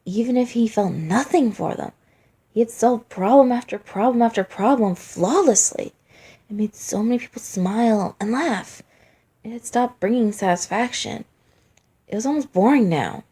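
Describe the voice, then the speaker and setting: A woman's voice: a little high, moderate pace, dark tone, slightly rough. A woman narrating a dramatic story from a script as a fan fiction reader.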